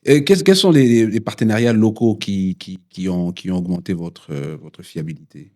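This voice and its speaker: Low-voiced man asking stumbling questions. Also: moderate pace, mellow. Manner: brief prompts and stumbling, repeated words in questions. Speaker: interview host. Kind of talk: interviewing.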